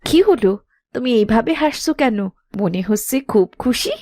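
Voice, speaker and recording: A sensible young cartoon wife, speaks in a fairly high, very animated voice. She is earnest and gently chiding. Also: moderate pace, no echo, studio quality. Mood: curious. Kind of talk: Bengali cartoon moral-tale acting.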